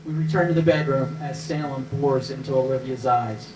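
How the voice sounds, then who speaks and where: A man's voice: mid-range voice, normal speed, a little mellow, slight background noise. Echoey male narrator reading screenplay directions. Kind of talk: scripted narration at a screenplay table read. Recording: roomy, echoey live reading.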